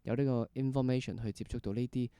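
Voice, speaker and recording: A man's voice: mid-range voice, steady tone, fast, mellow, smooth, dry recording. A man with a Hong Kong Cantonese accent hosting a casual interview, hesitating and repeating phrases, with English words mixed in. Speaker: podcast host.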